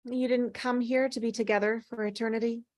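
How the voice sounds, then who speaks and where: A woman's voice: fairly high pitch, little pitch movement, fairly quick, a little mellow, dry recording, studio quality, lightly acted. A woman playing a teasing, melancholy older female ghost in an acted script read, with wry, sighing, dramatic delivery. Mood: needling.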